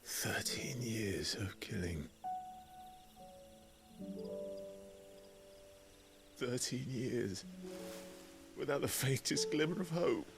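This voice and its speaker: A man playing a brooding, guilt-ridden, weary wanderer in a video game cutscene, speaking in a grave, halting, restrained way. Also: mid-range voice, big pitch swings, gravelly, exaggerated voice. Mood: guilty, haunted. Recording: prominent music behind the dialogue.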